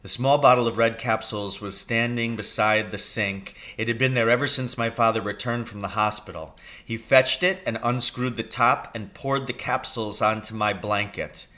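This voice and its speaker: A man reading a children's story aloud as an expressive narrator. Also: lowish voice, moderate pace, few pauses. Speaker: story reader.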